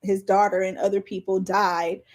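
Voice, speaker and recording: A woman's voice: mid-range voice, little pitch movement, moderate pace. An American woman co-hosting a casual podcast, talking in an opinionated, outspoken way. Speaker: podcast co-host.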